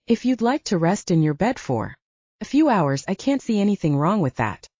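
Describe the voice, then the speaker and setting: A woman's voice: mid-range voice, very animated, moderate pace, mellow, slightly rough, no room sound, highs cut off. Sweet, playful young woman, voiced by a female narrator. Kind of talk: audiobook character dialogue.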